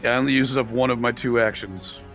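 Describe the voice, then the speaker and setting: Bright, excitable man at a game table. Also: mid-range voice, fast, soft background music. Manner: excitable, exclamatory, swears freely. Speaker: roleplaying game player. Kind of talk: casual game-table chat.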